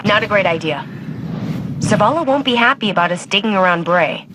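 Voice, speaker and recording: A woman's voice: mid-range voice, expressive, brisk, noisy background, lightly acted. Woman playing a confident, driven game scientist-adventurer. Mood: knowing, resolute. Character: driven, confident adult female scientist-adventurer guiding an ally. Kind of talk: video game cutscene acting. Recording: loud music behind the voice.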